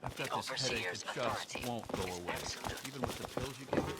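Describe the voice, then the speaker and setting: A man's voice: mid-range voice, a little fast, loud music behind the voice, close to an everyday voice. An actor playing a weary, complaining male patient in a video game.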